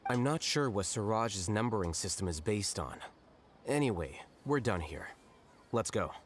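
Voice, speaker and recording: An actor playing a self-assured, blunt, analytical young scholar in a video game, speaking in a measured, matter-of-fact way. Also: male voice, on the low side, animated, slight background noise, natural voice.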